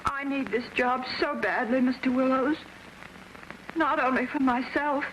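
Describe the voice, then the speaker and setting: Flustered young job seeker, high voice, stammers, coaxing, 1940s film. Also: female voice, fairly even intonation, a little slow, a bit bright, slight background noise, low-quality recording. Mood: pleading. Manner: stammering, wheedling. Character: flustered young woman, pleading and coy. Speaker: job applicant.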